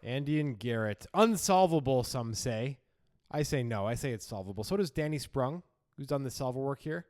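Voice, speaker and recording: A man's voice: mid-range voice, lively intonation, moderate pace, darkish tone, dry recording. Male podcast co-host, wry and casual, mid-range voice, close dry studio sound. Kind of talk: casual hand analysis commentary.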